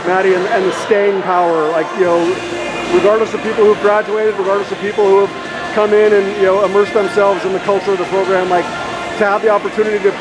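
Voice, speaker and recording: A man's voice: high-pitched, flat delivery, fast. A man interviewing a player after a game, asking long rambling questions full of fillers, in an arena hallway. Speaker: sports interviewer. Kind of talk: postgame interview. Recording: noisy background.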